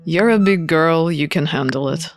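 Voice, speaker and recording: A woman's voice: low-pitched, moderate pace, over music, pitched below the performer's own voice, natural voice. A woman voicing a guarded young man, an earnest rebel schemer in a visual novel read-aloud. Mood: serious, measured.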